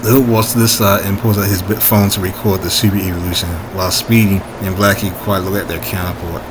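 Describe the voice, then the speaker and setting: A man reading his own story informally, haltingly, with asides and frequent rereading to correct himself. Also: sits low, little pitch movement, noisy background.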